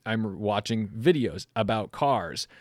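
A man interviewing a guest in casual chat, rambling and energetic after too much coffee. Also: on the low side, takes it slowly, no room sound, clean studio sound. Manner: chatty, rambling, lots of fillers and laughs. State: caffeinated, energetic.

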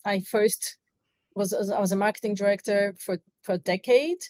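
A woman's voice: mid-range voice, moderate pace, a little mellow, dry recording. A middle-aged woman from Bulgaria with a Bulgarian accent, telling her story earnestly and reflectively as a podcast guest. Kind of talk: interview conversation.

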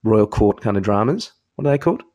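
Casting: male podcast co-host, lowish voice, curious, stop-start chatty questioning. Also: very animated, a little mellow, muffled recording. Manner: halting, inquisitive. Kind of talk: casual interviewing chat.

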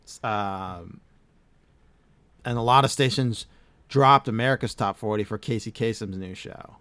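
A man's voice: on the low side, a little slow, fairly bright tone, dry recording. A middle-aged man hosting a casual podcast chat, reading facts aloud and swearing casually. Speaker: podcast host.

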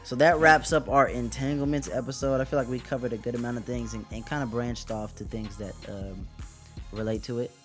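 Soft-voiced young man hosting a podcast. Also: mid-range voice, a little fast, soft background music. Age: young adult. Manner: relaxed, sleepy. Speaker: podcast host. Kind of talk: casual chat.

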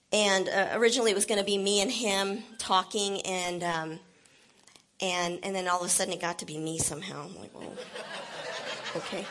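A woman with a bright, forceful voice gives a casual sermon to her congregation. She is encouraging and enthusiastic, laughs often and sometimes gets loud and emphatic. Her voice sits a little high. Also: a little hiss or hum. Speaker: church leader giving a talk.

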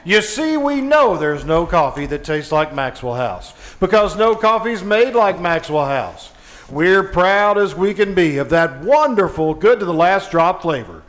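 A man's voice: sits high, big pitch swings, measured pace, fairly bright tone, heavily acted. A man playing a cheerful 1950s radio announcer, folksy in his sales patter and mock-dramatic in his storytelling. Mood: cheerful, persuasive. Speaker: announcer and narrator. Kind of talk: 1950s old-time radio announcing and sponsor pitch. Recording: music behind, live stage radio play.

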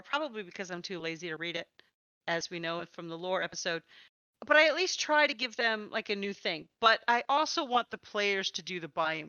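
A woman's voice: on the low side, pushed and bright, no room sound, muffled recording. A woman hosting a casual, chatty podcast about tabletop gaming, with a playful way of talking. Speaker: podcast host and interviewer.

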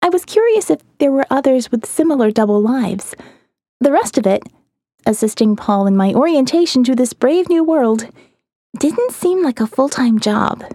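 A woman's voice: high-pitched, big pitch swings, moderate pace, dark tone, no echo, studio quality. A woman reading scripted first-person dramatic narration as the narrator of an audio novel.

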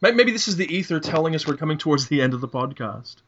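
A man hosting a podcast over an internet call, chatting casually about books in a halting way, often repeating and rephrasing himself. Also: fairly high pitch, very animated, fairly bright tone, lo-fi sound, slight background noise. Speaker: podcast host. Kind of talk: casual literary discussion.

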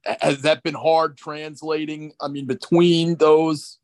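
A man's voice: on the high side, little pitch movement, a little slow, darkish tone. A man hosting a podcast, asking guests questions in a hesitant way and rephrasing as he goes. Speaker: podcast host. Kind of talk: casual podcast interview.

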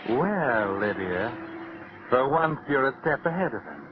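An actor playing a sinister, all-knowing narrator of a 1940s radio suspense show, insinuating and mocking. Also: male voice, medium pitch, very animated, measured pace. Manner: insinuating, theatrical. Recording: muffled noisy old radio broadcast.

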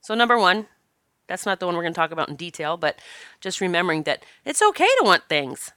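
A woman hosts her podcast in a chatty, encouraging way, her voice a little stopped up from allergies. The tone is bright and smooth. The sound is dry and close, with no room behind her. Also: fairly low pitch, sing-song, quick pace, no room sound. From Northeast Pennsylvania. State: congested from allergies. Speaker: podcast host. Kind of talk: casual podcast talk.

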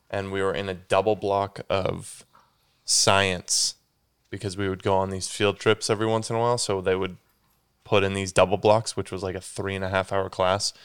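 A man's voice: sits low, a bit bright, clean tone. A young man hosting a casual solo podcast, stuffy and congested, rambling and correcting himself as he goes. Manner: rambling, self-correcting, says "dude" a lot. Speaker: podcast host.

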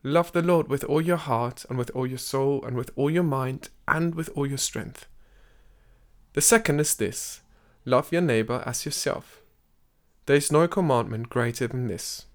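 Man, podcast narrator, mid-pitched, measured, scripted storytelling. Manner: measured storyteller, lifts his voice for quoted speech.

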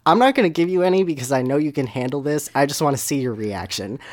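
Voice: mid-range voice, animated, fast, a little mellow. A podcast host chatting casually and informally, with an amused manner.

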